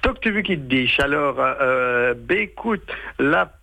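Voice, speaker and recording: Creaky-voiced man, native patois speaker, casual interview. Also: mid-range voice, lively intonation, unhurried, bright, forceful tone, no room sound. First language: western French patois. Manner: hesitant, searching, colloquial. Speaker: stage performer, storyteller.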